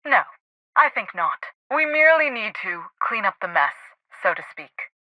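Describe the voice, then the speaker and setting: An actress playing a pompous, high-strung head scientist in a video game, speaking formally with precise diction. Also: female voice, big pitch swings, moderate pace, dry recording, highs cut off, lightly acted. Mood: defensive, urgent. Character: haughty, high-strung woman scientist heading a research lab. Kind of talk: video game character dialogue.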